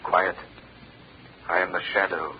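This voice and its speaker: An actor playing a mysterious, hushed, unseen avenger in a 1930s radio drama, commanding and ominous. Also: male voice, low voice, level tone, unhurried. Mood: secretive, urgent. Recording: muffled, hissy 1930s radio recording.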